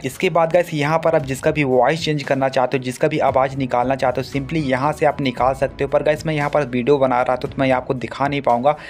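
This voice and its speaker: Fast-talking young man, tutorial host. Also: medium pitch. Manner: repeatedly addresses viewers, instructional. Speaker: gaming channel host. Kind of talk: scripted app tutorial narration. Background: music behind the voice.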